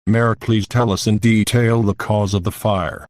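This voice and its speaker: A man playing a solemn television news anchor, reading a scripted report in a measured, explanatory way. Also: on the low side, fairly even intonation, a little mellow, dry recording, studio quality, close to an everyday voice.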